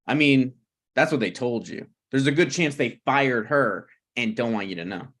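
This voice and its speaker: A man's voice: mid-range voice, lively intonation, normal speed, a bit bright. A man reading the part of a flippant, needling, self-deprecating screenwriter in a conversational comedy table read. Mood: awkward banter.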